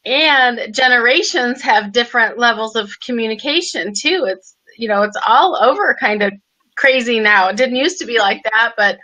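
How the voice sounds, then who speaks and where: A woman's voice: a little high, moderate pace, pushed and bright, rough recording. A woman host with a young-sounding voice, chatty and affirming, laughing easily. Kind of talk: casual conversational interview.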